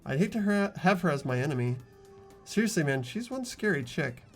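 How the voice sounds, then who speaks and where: An expressive playthrough host narrating and reading out a visual novel game. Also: mid-range voice, a little fast, faint music behind.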